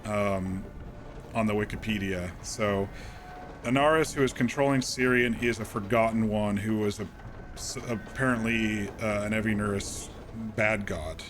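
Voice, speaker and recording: A man streaming a game, casually recapping events in a calm, rambling way with many fillers and restarts. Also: on the low side, measured pace, background noise.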